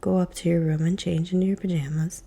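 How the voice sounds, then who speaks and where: A woman whispering the lines of a gentle, worried mother in a story. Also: lowish voice, level tone, fast, vocal fry, breathy edge. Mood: soothing. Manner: soft, soothing.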